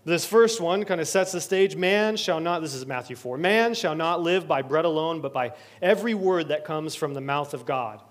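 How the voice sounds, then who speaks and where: Male pastor, higher-pitched, preaching with earnest building repetition. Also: moderate pace, hardly any pauses. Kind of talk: sermon. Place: church sanctuary.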